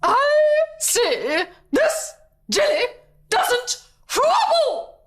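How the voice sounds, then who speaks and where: A woman's voice: very high-pitched, wildly swooping pitch, pitched above the performer's own voice, heavily acted. A woman voicing a spoilt, bossy little princess, hysterical and imperious, drawing out her words in a storybook reading. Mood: horrified, hysterical. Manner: shrieking, imperious, drawn-out words.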